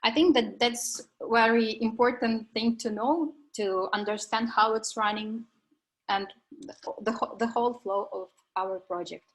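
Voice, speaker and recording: A young woman explains a software project step by step. Her voice sits a little high, and she sounds careful and methodical as she presents at a graduation event. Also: a little slow, rough recording. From Ukraine. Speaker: graduating coding student presenter.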